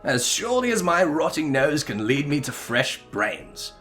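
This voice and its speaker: A man voicing a courteous, florid and gently melancholy zombie emissary from a video game, with formal old-fashioned diction. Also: a little high, big pitch swings, measured pace, faint music behind. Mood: reassuring, grand. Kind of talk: melodramatic video game dialogue reading.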